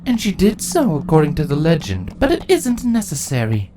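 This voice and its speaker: A man voicing a ruthless, imperious female changeling insect queen in a story reading, haughty and deliberate in delivery. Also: a little high, wildly swooping pitch, warm tone. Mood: scheming, assured. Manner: haughty, deliberate, grandly self-justifying.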